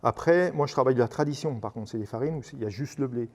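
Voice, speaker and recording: A man, a baker and amateur racing driver, answering interview questions in casual chat, quick and full of restarts. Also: medium pitch, a little mellow. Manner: fast, with many repeated words and restarts.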